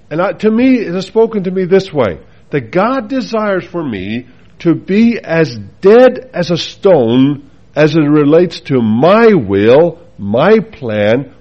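Faroese-accented preacher, earnest and unhurried. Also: male voice, on the high side, muffled recording. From Faroe Islands. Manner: earnest, unhurried, with asides and rhetorical questions. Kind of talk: sermon.

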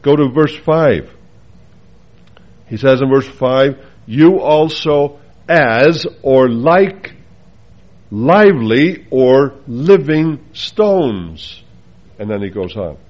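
A man's voice: mid-range voice, expressive, drawn-out words, muffled recording. A man from the Faroe Islands with a Faroese accent, preaching a sermon earnestly, with asides and rhetorical questions to the congregation.

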